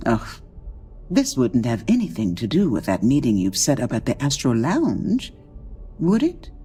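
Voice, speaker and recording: A woman's voice: extremely low pitch, huge pitch swings, a little slow, warm tone, breathy edge, faint music behind, heavily acted. An actress playing a charming, teasing, cunning female executive in a video game, with a gushing, theatrical manner. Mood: arch, sly.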